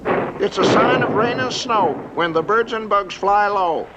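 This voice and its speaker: An excitable, very high-voiced character reciting rhymes in a bouncing sing-song patter. The sound is muffled and lo-fi, with steady hiss from an old film print. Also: male voice, a little slow. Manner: sing-song, rhyming patter that speeds up and stumbles. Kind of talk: 1950s animated film voice acting.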